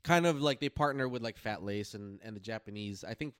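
A man co-hosting a podcast in casual, slangy talk, pausing and rephrasing as he explains his notes. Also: lowish voice, smooth, no room sound, studio quality. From Philippines or Filipino family. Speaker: podcast co-host. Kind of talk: casual chat, summarizing notes.

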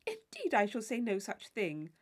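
A woman's voice: mid-range voice, wildly swooping pitch, normal speed. A woman voicing a polite, modest young lady who answers demurely in a classic novel reading. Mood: mild protest. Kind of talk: classic novel audiobook character voice.

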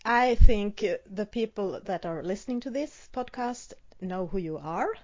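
A woman's voice: medium pitch, lively intonation. Swedish-accented woman hosting a podcast. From Sweden. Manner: brisk one-word questions, then chatty. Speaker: podcast host. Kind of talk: casual podcast interview. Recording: dull lo-fi sound.

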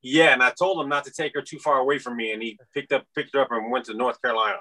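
A man's voice: mid-range voice, steady tone, fast, pushed and bright. A man in his fifties from Iran, a podcast guest rambling casually with many fillers. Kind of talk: casual interview chat.

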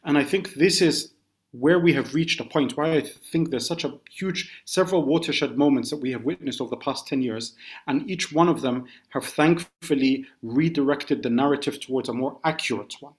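A British man talks as a guest journalist on a podcast. He lays things out step by step in a measured way, with a mid-range voice. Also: mid-range voice. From United Kingdom. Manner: measured, detailed recounting. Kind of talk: podcast interview.

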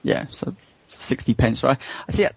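A man's voice: normal speed, dry recording. A man hosting a casual gaming podcast, rambling through his opinions in a conversational way. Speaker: podcast host. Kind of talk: casual panel chat about gaming. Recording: dull lo-fi podcast audio.

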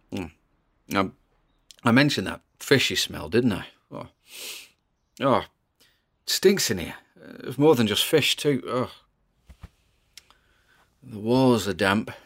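A man with a British accent playing a wary, chatty paranormal investigator narrating his exploration in a podcast-style audio drama, with theatrical asides. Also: on the low side. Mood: disgusted, alarmed.

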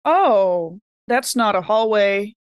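A woman's voice: mid-range voice, expressive, takes it slowly, smooth, no room sound, studio quality. A woman chatting informally as a player in a tabletop role-playing game, her talk peppered with "like". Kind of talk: casual chat during a game.

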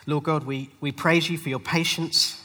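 A male pastor delivering a rhyming spoken-word sermon, dramatic and rhythmic, with emphatic phrasing and deliberate pauses. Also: fairly high pitch, fairly even intonation, sharp tone. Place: large hall at a live church event. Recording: long hall reverb, live event.

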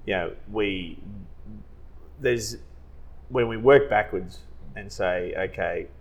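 Low-voiced hesitant male adviser. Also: takes it slowly, rough recording. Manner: hesitant, many restarts and fillers. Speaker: financial adviser. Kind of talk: casual podcast chat.

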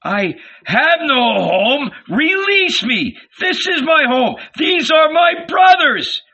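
Man playing an anguished prophet crying out, high-pitched. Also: big pitch swings, muffled recording, exaggerated voice. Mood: impassioned. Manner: loud, drawn-out pleading cries. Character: gentle but anguished young male prophet, crying out.

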